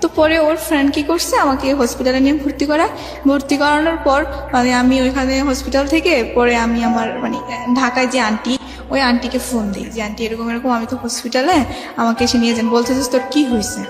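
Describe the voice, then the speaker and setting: A young woman with a Bangladeshi accent telling her life story in a radio interview, halting and conversational, acting out remembered exchanges. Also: high-pitched, fairly even intonation. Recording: echoey reverb, faint music behind. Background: faint music.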